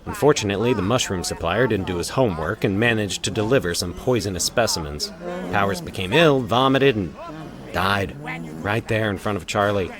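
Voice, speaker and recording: Man doing a tired asylum doctor, low voice, explains slowly and gravely, audio horror story. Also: lively intonation, crowd noise, lightly acted. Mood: resigned. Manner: measured, explanatory, sighing. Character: weary, measured male doctor at an asylum. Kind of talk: dramatic story reading.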